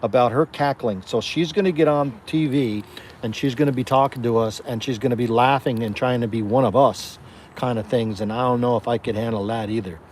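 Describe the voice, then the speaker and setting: A man's voice: medium pitch, a little fast, a little mellow, a little hiss or hum. A man co-hosting a livestream in casual chat, hesitant and often repeating and restarting his words. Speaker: co-host.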